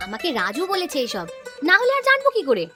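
A woman acts out a practical older mother-in-law from a village cartoon. The pitch is extremely high and animated, the tone sharp. The manner is caring and matter-of-fact, delivered quickly. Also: soft background music, exaggerated voice. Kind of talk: cartoon dubbing.

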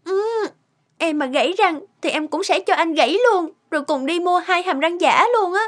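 A woman's voice: very high voice, moderate pace. A woman playing a teasing, supportive young wife in a cartoon, speaking expressively. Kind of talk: cartoon dub acting.

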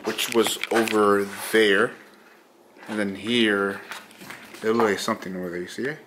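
A man's voice: lowish voice, steady tone, normal speed. A man casually narrating an exploration vlog as a ghost hunter inside an empty building. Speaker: ghost-hunting vlogger. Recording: echoey rooms with clear noise.

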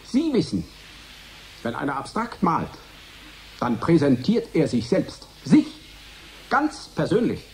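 Ein Schauspieler spielt in einem Hörspiel einen eindringlichen Maler, der eine öffentliche Rede hält, rhetorisch und mit betonten Wiederholungen. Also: male voice, on the high side, huge pitch swings, takes it slowly, pauses often, a little hiss or hum, full character voice. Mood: insistent, ironic. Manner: emphatic, rhetorical, repeating phrases. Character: male painter giving a provocative public speech, insistent. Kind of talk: declamatory radio drama oratory.

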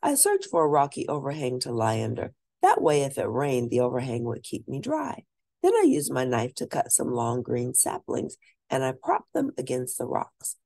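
Gravelly, animated woman storyteller. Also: very deep voice, no room sound. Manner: animated, deliberate, lingering on key words. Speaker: storyteller reading a children's book. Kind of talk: expressive read-aloud narration of a children's story.

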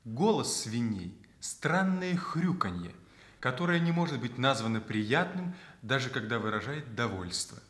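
An actor playing a teasing young son in a Soviet radio play, reciting with mock solemnity in a roomy echo. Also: male voice, medium pitch, sing-song, normal speed, fairly bright tone.

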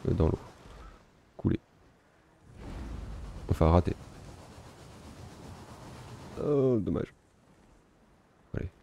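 Low rumbly guy chatting over his game, laid back, pokes fun at himself. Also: very slow, creaky, long pauses, highs cut off, slight background noise. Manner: relaxed, self-mocking, thinking aloud. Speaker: gaming video host. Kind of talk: casual let's-play commentary.

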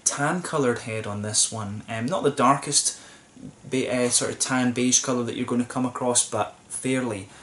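A man's voice: mid-range voice, normal speed, fairly bright tone, a little hiss or hum. A young man talking to camera at a table in a small room, reviewing a beer in a thoughtful, rambling way with many ums and restarts. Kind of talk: casual solo review to camera. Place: small plain room indoors, seated at a table.

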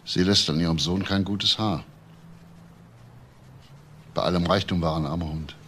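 An actor playing a calm, reserved middle-aged police investigator in a German crime radio drama, laconic and understated. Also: male voice, sits low, normal speed, slight background noise. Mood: thoughtful, reserved.

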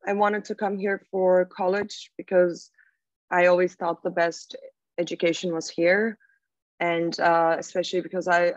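A woman's voice: fairly low pitch, dry recording. A young woman from Turkey with a Turkish accent, a podcast guest talking thoughtfully with some fillers and restarts over a video call. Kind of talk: casual interview talk. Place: small room at home. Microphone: laptop mic on a video call. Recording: dull, dry video-call audio.

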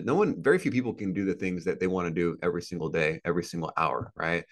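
Man, thirties, deep low voice, earnest motivational talk, repetitive, video call. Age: 30s. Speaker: podcast guest. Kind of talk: casual interview talk. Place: indoor room with a plant and a couch.

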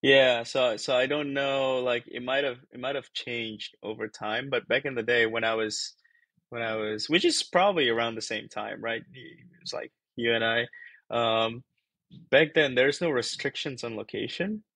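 A man's voice: medium pitch, moderate pace, a bit bright, no room sound, low-quality recording. A young man from Indonesia, a PhD researcher talking casually on a video call, rambling and thinking aloud with many fillers and restarts. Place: small bedroom-like room.

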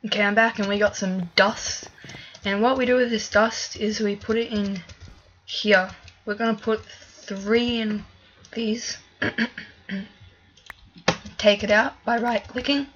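A woman giving casual let's-play commentary as she plays, chatty and thinking aloud with fillers, a little hoarse from a cough. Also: mid-range voice, fairly even intonation, takes it slowly, long pauses, fairly bright tone, muffled recording. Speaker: gaming video creator.

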